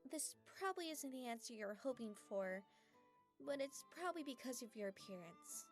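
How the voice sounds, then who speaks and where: Woman as a gentle, higher-voiced anime heroine. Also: lively intonation, normal speed, faint music behind, natural voice. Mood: hesitant, frank. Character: gentle, earnest young fantasy heroine. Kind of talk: anime fan dub acting.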